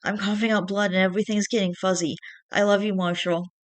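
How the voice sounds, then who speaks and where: A woman's voice: medium pitch, flat delivery, a little fast, no room sound, close to an everyday voice. A woman voicing a gasping, dying father who gives short, strained replies in a story read aloud. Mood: pained, weak.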